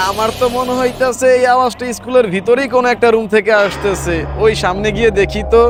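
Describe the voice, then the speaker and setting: A man playing a nervous, stammering school worker in a horror cartoon. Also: extremely high pitch. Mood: uneasy, puzzled. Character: frightened male school worker sent to clean at night. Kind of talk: amateur animated horror cartoon dub. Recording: loud music behind voice.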